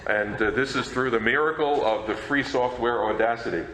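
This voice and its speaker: A man's voice: medium pitch, normal speed, crowd noise. A man giving an informal convention talk in a casual, chatty way. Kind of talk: informal presentation. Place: echoey presentation room. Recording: echoey room, clear background noise.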